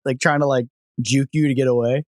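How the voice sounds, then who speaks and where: A man's voice: mid-range voice, fairly quick, no room sound. A man co-hosts a podcast with a mid-range voice, cracking jokes as he tells stories. The sound is dry and close, with no room around it. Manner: joking, animated storyteller. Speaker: podcast co-host. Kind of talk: casual podcast chat.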